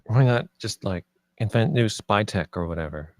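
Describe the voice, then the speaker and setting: A man's voice: fairly low pitch, moderate pace, no room sound, studio quality, natural voice. A man reading the part of a wary, protective young leader at a screenplay table read, in a questioning, conversational way. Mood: skeptical.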